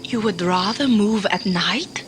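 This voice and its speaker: A woman's voice: mid-range voice, a little slow, noisy background, natural voice. A woman playing a squeamish traveller in a sci-fi audio drama, precise and formal, often questioning. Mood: wary.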